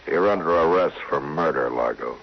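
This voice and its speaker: Very deep-voiced, steady frontier marshal. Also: male voice, normal speed, vocal fry. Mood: stern, unyielding. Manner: firm, plainspoken. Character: steady, stern male frontier marshal of the Old West. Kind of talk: old-time radio western acting. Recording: muffled old radio recording, light noise.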